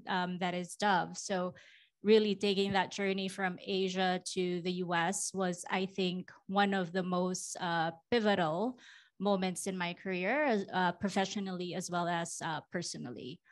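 A woman in her forties from the Philippines, Filipino her first language, speaking as a guest on a conference stage with a handheld mic, reflective and full of ums. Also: medium pitch. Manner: warm, reflective, many ums. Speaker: panel guest. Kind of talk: fireside chat on stage. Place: conference stage before a live audience.

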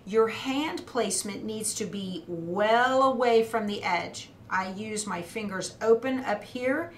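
A woman's voice: mid-range voice, takes it slowly. A middle-aged woman giving a hands-on demonstration as an instructor, explaining methodically step by step. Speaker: quilting instructor. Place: indoor craft studio at a cutting table. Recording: roomy echo, clear background noise.

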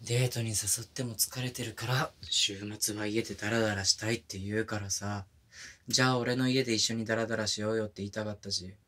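A man's voice: fairly low pitch, fairly bright tone, slightly rough. A man playing a smitten, apologetic young boyfriend in an intimate ASMR voice drama, speaking casually and tenderly. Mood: frustrated, confessing.

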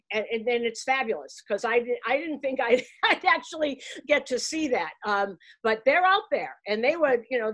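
Older woman from Boston on a video call. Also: on the high side. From Boston, USA. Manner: animated, talks with her hands, restarts often. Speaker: guest, baseball broadcaster. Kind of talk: casual interview storytelling. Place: room at home, framed pictures on the wall.

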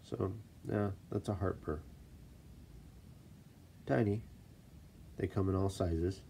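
A man with a stuffy voice from a cold, casually explaining stone setting in a relaxed, self-deprecating way at his workshop desk. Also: sits low, slow, vocal fry, a little hiss or hum. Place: workshop desk, filmed from above.

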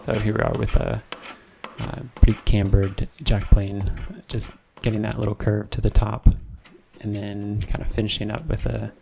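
A man narrating his woodworking build in a relaxed, unhurried way, with many ums and restarts. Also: fairly low pitch, vocal fry, noisy background. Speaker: woodworking channel host. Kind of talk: casual voice-over narration of a build. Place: home woodshop.

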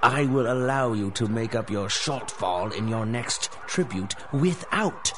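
An actor playing a menacing, mock-merciful lord of the rats in a radio drama, pompous and taunting. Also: male voice, medium pitch, expressive, noisy background, lightly acted. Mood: mock mercy. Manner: pompous, taunting, drawn-out questioning. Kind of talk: 1930s-style radio drama acting.

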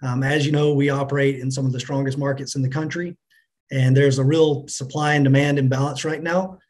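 A middle-aged man answering business interview questions as a company finance chief, in a measured, businesslike manner. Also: medium pitch, flat delivery, a little mellow. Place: indoors on a remote video call.

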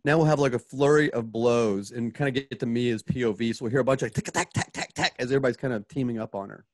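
A man's voice: mid-range voice, fast, no echo, clean studio sound. A man directing a radio drama, casually narrating sound cues in an informal way with spoken sound effects.

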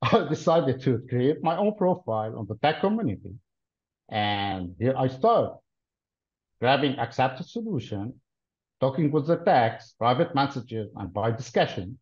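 A man in his thirties from Lebanon, answering interview questions in a deliberate, explanatory way with frequent pauses, heard over a video call. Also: mid-range voice, sing-song, darkish tone, husky. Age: 30s. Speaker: interview guest, cloud solutions architect. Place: plain-walled room, indoors. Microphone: video call webcam. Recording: dull lo-fi video call audio.

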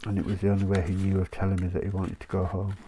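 A man's voice: deep voice, completely flat delivery, racing, warm tone, slight background noise. A man with a chesty cough, chatting in a rambling way and laughing often while cutting paper at his craft desk. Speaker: craft channel host. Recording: paper crinkling close by.

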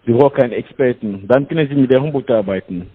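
A man's voice: mid-range voice, fast, breathy edge, dry recording. A man making a scam phone call, eager, with broken grammar. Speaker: scam caller. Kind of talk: phone sales pitch. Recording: tinny phone line.

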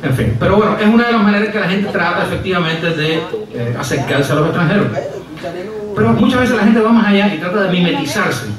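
A man's voice: high-pitched, lively intonation, fast talker, a crowd in the background. A middle-aged Cuban man doing a wry, digressive stand-up monologue, full of hesitations, on an outdoor stage with a stand mic. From Cuba. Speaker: comedian and writer. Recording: echoey live outdoor sound from far off. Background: audience laughing.

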